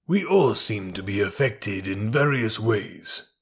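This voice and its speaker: A man's voice: lowish voice, expressive, slow, creaky, slightly rough, exaggerated voice. A man voicing a nervous, easily offended male elephant in a storybook, fussy and self-pitying in manner. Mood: huffy, rueful.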